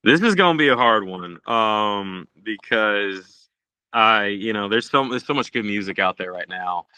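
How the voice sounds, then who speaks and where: A man's voice: fairly low pitch, lively intonation, a little slow, pushed and bright, clear, resonant tone, dry recording. A man from Puerto Rico, a musician, chatting casually and earnestly as a podcast guest. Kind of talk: casual interview chat.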